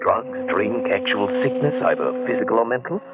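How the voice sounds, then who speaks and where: An actor playing a troubled, inquisitive gentleman in an old-time radio drama, speaking in an earnest, literate, theatrical way. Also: mid-range voice, huge pitch swings, normal speed, phone-quality sound. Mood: brooding, uneasy. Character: troubled, inquisitive gentleman narrating an eerie tale.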